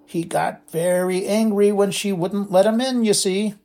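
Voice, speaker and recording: A man reading aloud and voicing a mischievous male poltergeist with a crackling, oily, wheedling voice and a drawn-out, sly delivery. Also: high voice, fairly even intonation, darkish tone, voice pushed up. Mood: gleeful.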